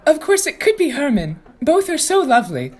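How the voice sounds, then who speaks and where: A woman playing a dreamy, smitten mature woman with an airy manner and a husky voice in a radio play. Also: high-pitched, huge pitch swings, a little fast.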